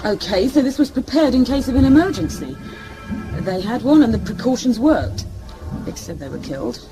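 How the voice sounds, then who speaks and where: An actor playing a young, excitable and blunt scavenger crew member in a science fiction audio drama. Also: medium pitch, moderate pace, over music, poor audio. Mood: worried, accusing.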